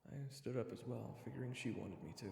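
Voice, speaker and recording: A man's voice: mid-range voice, fast, gravelly, a little mellow, a little hiss or hum. A man narrating a scripted first-person fantasy story as a dramatic storyteller. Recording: reverberant, with prominent music behind. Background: music.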